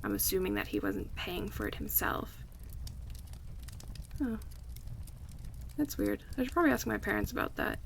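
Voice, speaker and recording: A woman playing an affectionate, chatty friend in a casual, intimate ASMR roleplay, speaking softly and in a relaxed way. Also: mid-range voice, moderate pace, gravelly, long pauses, heavily acted. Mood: relaxed, friendly. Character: affectionate young woman, cozy longtime friend hosting a night in. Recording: faint background ambience noise.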